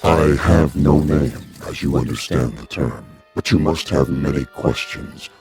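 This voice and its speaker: Giant alien envoy, extremely deep. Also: male voice, a little mellow, soft background music. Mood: courteous, solemn. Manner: slow, formal, measured. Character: giant alien envoy, male, calm and courteous. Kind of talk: radio drama acting.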